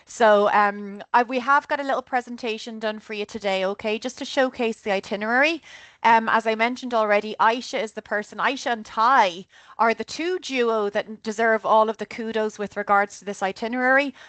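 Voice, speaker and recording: A woman's voice: a little high, fairly quick, fairly bright tone, dry recording. A woman with an Irish accent, director of sales at a tour company, giving a chatty online group presentation and often checking in with "okay?". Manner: chatty, keeps adding "okay?".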